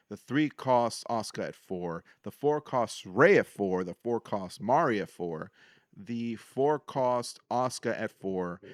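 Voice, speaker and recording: Low-voiced man, casual podcast host. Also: no echo, clean studio sound. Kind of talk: casual solo recap of card game decks and matches.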